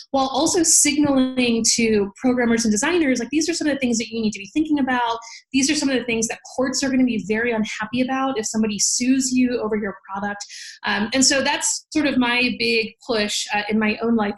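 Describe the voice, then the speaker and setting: An American woman with a slightly high voice gives explanatory interview answers. She is articulate and organized, laying things out plainly like a professor. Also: low-quality recording. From United States. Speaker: law professor, podcast guest.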